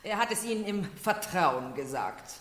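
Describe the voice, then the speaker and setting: An actress in a 1970s radio drama as an insistent, excited noblewoman, emphatic and urgent. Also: medium pitch, moderate pace, echoey room, natural voice. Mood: urgent reassurance. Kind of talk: 1970s German-language radio play acting.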